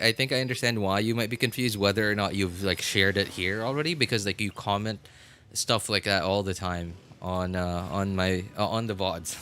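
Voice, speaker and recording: A man's voice: low voice, bright, forceful tone, slight background noise. A man chatting casually and at length as he livestreams a game. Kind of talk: casual rambling stream chat.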